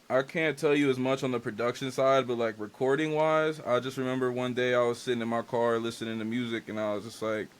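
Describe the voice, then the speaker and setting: A man's voice: medium pitch, a little hiss or hum. Laid-back young man, casual and candid. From Dominican and Haitian family. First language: Spanish. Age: young adult. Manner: loose and candid, heavy on "like" and slang. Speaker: guest artist and engineer. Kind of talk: casual interview chat.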